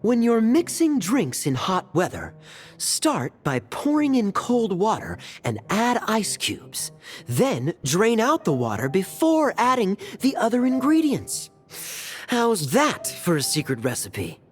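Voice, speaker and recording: Smooth teasing anime game captain, playful n sly, very animated pitch. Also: male voice, slow, soft background music, heavily acted. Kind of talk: anime video game voice acting.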